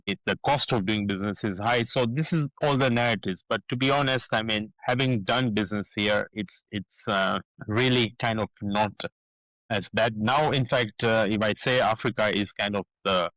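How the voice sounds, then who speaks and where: Low-voiced Kenyan man, halting. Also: fairly bright tone, no echo, low-quality recording. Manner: hesitant, many fillers and restarts. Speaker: guest, business founder. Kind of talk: casual interview talk.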